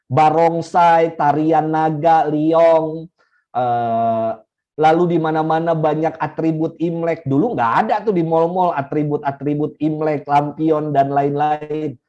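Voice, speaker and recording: Slow-speaking Indonesian priest, mellow voice. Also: male voice, fairly high pitch, fairly even intonation. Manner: unhurried, repeats key phrases for emphasis, chuckles. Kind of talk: teaching talk to a congregation. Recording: slightly dull lo-fi recording.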